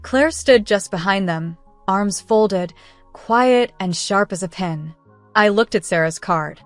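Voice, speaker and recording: A woman reading a scripted first-person story in a measured, earnest, literary storytelling manner. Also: medium pitch, no echo, soft background music. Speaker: narrator.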